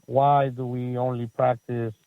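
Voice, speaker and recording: A man's voice: mid-range voice, little pitch movement, measured pace, no room sound. A man in his forties from Chile with a Chilean Spanish accent, speaking as a guest in a casual interview, rambling with many fillers and restarts. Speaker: guest, soccer facility owner and coach. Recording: tinny phone-like line.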